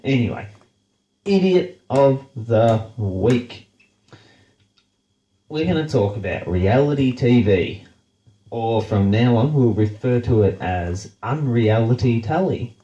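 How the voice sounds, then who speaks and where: Congested Australian man, slow and roomy. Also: mid-range voice, expressive, warm tone, highs cut off. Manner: blunt, sarcastic, swears freely. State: congested, nasal from a blocked nose. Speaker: show host. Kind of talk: casual profane rant.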